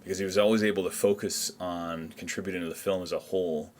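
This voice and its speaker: A man speaking as a film director, giving measured, earnest behind-the-scenes commentary. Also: low-pitched, fairly even intonation, a little hiss or hum.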